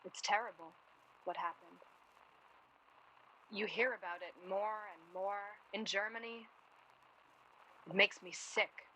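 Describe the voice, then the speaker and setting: A woman's voice: medium pitch, slow pace, long pauses, pushed and bright, a little hiss or hum, poor audio. A young woman playing a sardonic, blunt student in a naturalistic period radio drama, with a dry, needling way of talking. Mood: conciliatory, grim. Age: young adult.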